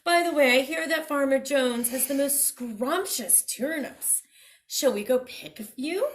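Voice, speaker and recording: A woman voicing a hungry, sly male wolf in a children's storybook, loud, coaxing and falsely charming. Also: high-pitched, lively intonation, a little slow, pitched above the performer's own voice. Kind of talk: children's storybook read-aloud.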